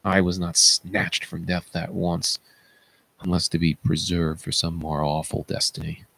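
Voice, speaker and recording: A man's voice: low voice, flat delivery, unhurried, creaky, fairly bright tone, husky, heavily acted. A man playing a blinded fallen king, an anguished and tender father, in a theatrical reading of Greek tragedy. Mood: resigned despair. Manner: theatrical, grief-laden declaiming. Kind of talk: read-aloud Greek tragedy.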